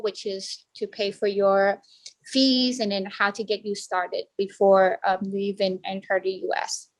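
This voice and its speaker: A woman's voice: medium pitch, poor audio. A woman working as a student services specialist explains enrollment steps to new students over a video call. She often stops and restarts as she explains. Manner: halting explanations with frequent restarts. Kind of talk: online information session presentation.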